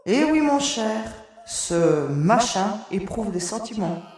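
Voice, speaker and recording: A woman playing a determined space explorer leading a rescue, giving calm, commanding orders in an echoey hall. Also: mid-range voice, takes it slowly. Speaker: audio drama character. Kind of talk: audio drama acting.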